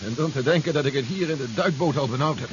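A man's voice: mid-range voice, fast, lightly acted. An actor playing an anxious, commanding man aboard a submarine in a 1970s radio drama, urgent and blunt. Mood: tense, worried. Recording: dull old radio recording, faint noise.

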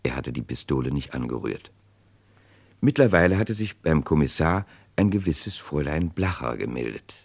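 A man narrating a scripted radio crime drama in a measured, sober manner. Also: extremely low pitch, lively intonation, creaky, a little mellow, slightly rough.

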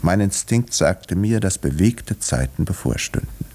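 Wry, low-voiced doctor with lively intonation. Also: male voice, heavily acted. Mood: dry, wry. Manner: dry, ironic, measured. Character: wry, urbane village doctor telling his own story. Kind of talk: 1950s German radio drama, narration and dialogue.

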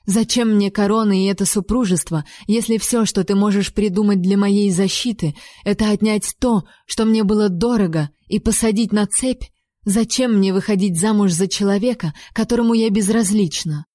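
A fiery young heroine with a warm, mellow voice, as a woman reader raises her pitch for her. Her words land with heat and emphasis. The sound is dry and close. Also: normal speed, no echo, natural voice. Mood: indignant. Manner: heated, emphatic accusations.

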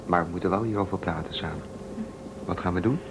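A man playing a calm, protective young male space traveler who reasons things through in a radio drama. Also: sits low, quick pace, gravelly. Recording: noisy old tape.

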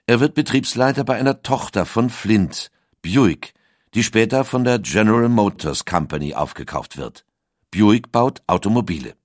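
A man narrating a documentary in a measured, expressive storytelling manner. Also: lowish voice, no echo, clean studio sound.

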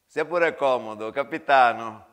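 A man playing a smug army major in a theatrical war story, speaking formally and condescendingly, with measured menace. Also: mid-range voice, a bit bright, long reverb tail, voice pushed down, natural voice. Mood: falsely jovial.

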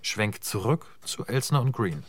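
A man's voice: fairly low pitch, lively intonation, a little slow, dry recording. A man narrating a radio drama, reading out scene directions in clipped, matter-of-fact phrases.

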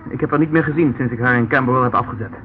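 A man's voice: mid-range voice, very fast, natural voice. Man doing a snippy defensive lord in an old radio play, medium voice, bit of hiss. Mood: curt. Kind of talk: radio drama acting. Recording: dull, noisy old radio play recording.

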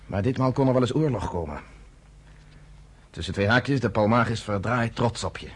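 A man's voice: medium pitch, normal speed, breathy edge, close to an everyday voice. A man in his thirties playing a blunt, commanding underground leader in a radio play. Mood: bitter. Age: 30s.

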